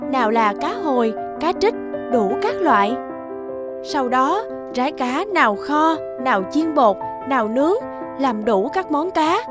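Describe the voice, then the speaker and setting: A woman storyteller reading scripted fairy-tale narration for children. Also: high voice.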